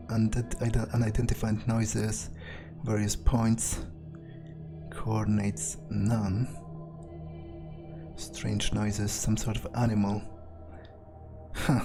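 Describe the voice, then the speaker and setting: A man narrating a video game playthrough in character, thinking aloud in a musing, halting way. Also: on the low side.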